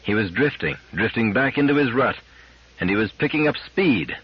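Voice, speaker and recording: A man with a husky voice giving a scripted narration of a science fiction story as an audiobook reader. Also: medium pitch, lively intonation, moderate pace, highs cut off.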